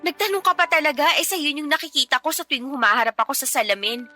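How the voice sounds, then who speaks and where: A woman's voice: high-pitched, quick pace, soft background music. A weary, sulky young mother. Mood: hurt. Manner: emotional, sulky, expressive. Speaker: wife character in a radio drama. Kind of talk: radio drama acting.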